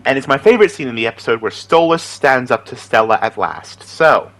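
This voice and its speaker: Animated man chatting about voice impressions. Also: medium pitch, brisk. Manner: giggly, chatty, enthusiastic. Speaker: amateur voice impressionist and aspiring voice actor. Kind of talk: casual chat introducing character impressions.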